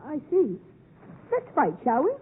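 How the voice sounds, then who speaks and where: A woman playing a cocky, chirpy young gentleman boy with brisk, formally polite bravado in a 1950s radio drama. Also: high-pitched, wildly swooping pitch, full character voice. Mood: cocky, curious. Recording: muffled narrow-band 1950s radio recording.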